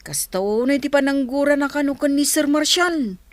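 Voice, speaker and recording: A woman's voice: high-pitched, normal speed, no room sound, natural voice. A woman voicing an eager young male servant who talks earnestly. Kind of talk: radio drama acting.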